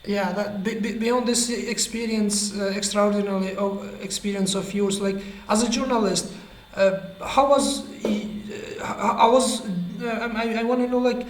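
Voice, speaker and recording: A male interviewer who asks his questions hesitantly, stumbling and filling his pauses. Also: high-pitched, steady tone, reverberant, slight background noise, low-quality recording.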